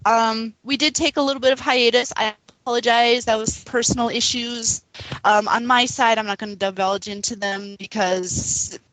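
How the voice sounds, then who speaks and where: Casting: woman podcast co-host, somewhat high voice, earnest and halting, dry close sound. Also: steady tone, fairly bright tone, no room sound, poor audio. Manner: earnest, halting, frequent ums. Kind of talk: casual chat.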